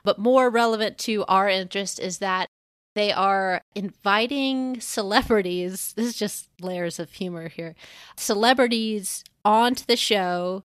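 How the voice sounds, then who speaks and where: A woman's voice: mid-range voice, fairly bright tone, no echo, studio quality. A woman co-hosting a podcast, talking in a wry, informal and conversational way. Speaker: guest podcast co-host. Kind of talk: casual podcast discussion.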